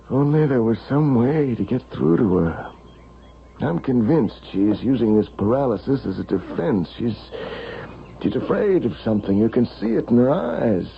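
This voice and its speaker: An actor playing a concerned, earnest sanatorium doctor in a 1970s radio drama. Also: male voice, medium pitch, lively intonation, normal speed, gravelly. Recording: slightly dull, faintly noisy vintage radio.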